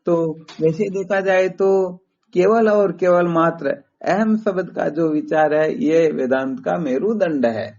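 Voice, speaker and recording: Higher-pitched man teaching, muffled call recording. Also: measured pace, gravelly, darkish tone. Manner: didactic, explains step by step with rhetorical questions. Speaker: Vedanta spiritual teacher. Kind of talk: philosophical teaching over a phone call.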